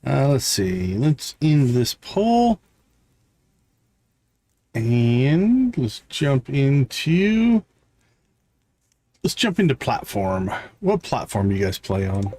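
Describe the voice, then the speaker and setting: A man's voice: mid-range voice, wildly swooping pitch, takes it slowly, long pauses, warm tone, no echo. A man giving casual live gameplay commentary, thinking aloud and chatting with viewers as he plays. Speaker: live streamer playing a farming game.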